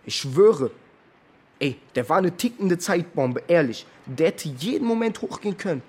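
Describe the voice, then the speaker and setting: Young male, radio drama witness: slangy, defensive, slightly high, husky voice. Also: expressive, moderate pace, darkish tone. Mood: defensive, agitated. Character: defensive young street-slang friend of a missing boy. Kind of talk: naturalistic radio drama testimony.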